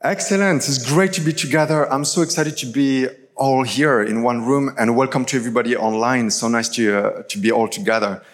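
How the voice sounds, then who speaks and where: A man's voice: medium pitch, fairly even intonation, fairly quick, few pauses. A man in his thirties, originally from France, with a French accent, preaching a chatty live sermon full of fillers. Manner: casual, chatty storytelling with many fillers. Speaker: pastor. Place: large church hall. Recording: live church recording with long hall reverb.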